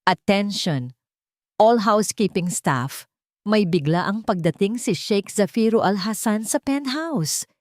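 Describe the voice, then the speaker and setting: Woman acting a bossy, higher-pitched hotel supervisor. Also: expressive, a little slow, a little mellow, no room sound, studio quality, lightly acted. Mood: urgent, commanding. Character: haughty, threatening female hotel supervisor, urgent and bossy.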